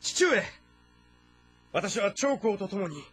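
High, forceful young nobleman. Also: lively intonation, slow pace, slightly rough, soft background music, exaggerated voice. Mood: eager, respectful. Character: eager young nobleman, male, formal and urgent. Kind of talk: dramatic acting in Japanese historical game.

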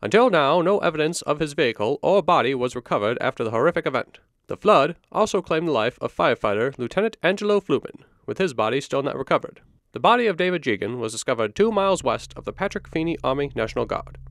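Man playing a higher-pitched, formal newsreader. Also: big pitch swings, fast, a bit bright, no room sound, studio quality. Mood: formal, sober. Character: formal, matter-of-fact evening TV or radio newsreader. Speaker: news anchor. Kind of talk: formal scripted news bulletin in a radio play.

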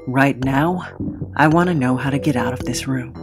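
An actress playing a defiant, vengeful heroine in an audio drama, with intense, deliberate, dramatic delivery. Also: female voice, very deep voice, loud music behind the voice. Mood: guarded, demanding.

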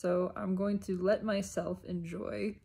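A woman speaks in an unhurried, reflective monologue, as if thinking aloud. Her voice is husky with a lot of fry at the edges. She breaks her thoughts into short phrases and repeats them deliberately. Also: medium pitch, flat delivery, warm tone. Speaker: self-reflective spiritual vlogger. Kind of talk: unscripted reflective spiritual monologue.

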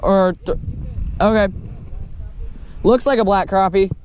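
A teenage boy chatting excitedly while fishing from a lake shore, recorded on a head-mounted camera. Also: sits high, measured pace. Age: teens. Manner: excitable, exclaiming over catches. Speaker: fishing vlogger. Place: outdoors on a rocky lake shore. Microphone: head-mounted action camera. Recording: noisy outdoor camera audio.

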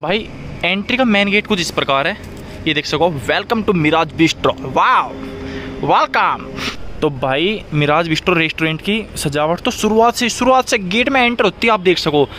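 High, bright-voiced western Uttar Pradesh man vlogging. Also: expressive, moderate pace, faint music behind. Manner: informal, addresses viewers directly. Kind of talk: casual vlog chat. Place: outdoors, roadside and restaurant garden.

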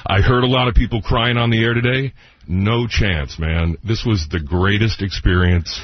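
Radio producer, male, low husky voice, casual banter, dry muffled broadcast. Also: moderate pace, no room sound. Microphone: close studio microphone.

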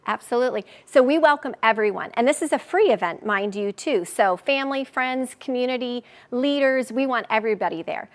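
A woman in her forties, a charity spokesperson, talking eagerly and warmly as a guest in a live TV studio interview, recorded on a lapel mic. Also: a little high, animated, fairly quick, hardly any pauses. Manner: upbeat, eager, promotional. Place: TV news studio.

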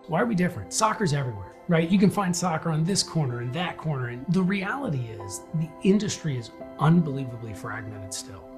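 A man giving an energetic promotional pitch and answering his own questions. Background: soft music.

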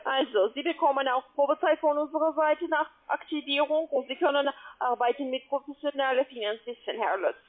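A woman with a foreign accent, not a native speaker, giving a phone sales pitch as a call centre agent in halting, broken phrasing. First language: not German. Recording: muffled tinny phone line.

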